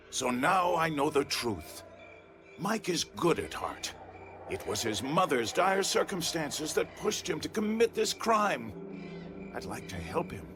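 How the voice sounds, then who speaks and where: A man playing an effusive, kind-hearted gentleman treasure hunter in a theatrical game cutscene. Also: a little high.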